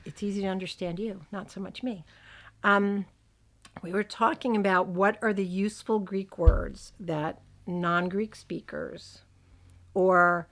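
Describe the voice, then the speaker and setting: A woman's voice: medium pitch, steady tone, a little slow. A woman hosting a casual podcast, talking with a lisp after dental surgery. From United States. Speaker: podcast host.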